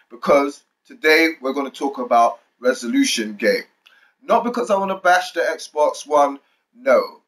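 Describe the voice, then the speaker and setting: A man's voice: on the high side, a bit bright. A man hosting a gaming channel, giving commentary to viewers in slangy, hip-hop flavoured phrasing. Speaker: YouTube gaming channel host. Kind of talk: video commentary to viewers, part hype greeting, part explainer.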